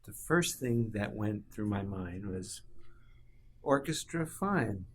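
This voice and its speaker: A man being interviewed conversationally, expressive and deliberate, pausing between phrases. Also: on the low side. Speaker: interviewed composer. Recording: faint room ambience and noise.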